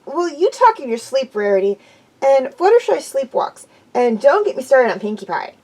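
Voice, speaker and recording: A woman's voice: high voice, very animated, moderate pace, a little mellow, voice pushed up. A woman voicing a brash, boastful female pegasus pony from a storybook. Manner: bossy, boastful.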